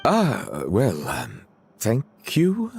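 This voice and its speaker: A performer voicing a dignified dragon in a video game, speaking formally and haltingly with awkward politeness. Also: mid-range voice, huge pitch swings, creaky, a little mellow, soft background music, heavily acted. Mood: awkward, polite.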